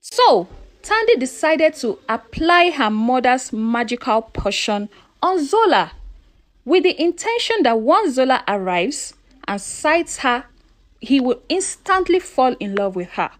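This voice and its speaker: A woman's voice: sits high, sing-song, measured pace. A woman narrating a scripted folktale in a dramatic storytelling manner, posing questions to her listeners.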